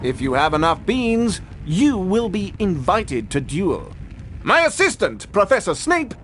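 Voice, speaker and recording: High-voiced, pompous showy teacher. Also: male voice, huge pitch swings, a little slow, a bit bright, faint music behind, exaggerated voice. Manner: pompous, theatrical. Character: vain, pompous, showy male duelling teacher. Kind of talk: video game character acting.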